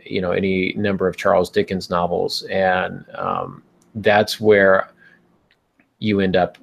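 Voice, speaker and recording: A man's voice: low-pitched, vocal fry. A man talking conversationally as a podcast guest, giving long-winded advice on writing fiction and thinking aloud as he goes.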